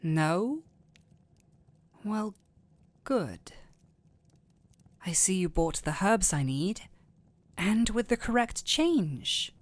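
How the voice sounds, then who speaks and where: Woman playing a gentle, slow-spoken fantasy doctor. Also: mid-range voice, sing-song, no echo, full character voice. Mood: fond, teasing. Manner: tender, fussing, softly questioning. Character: gentle, caring, perceptive male fantasy doctor. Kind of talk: intimate fantasy audio roleplay addressed to listener.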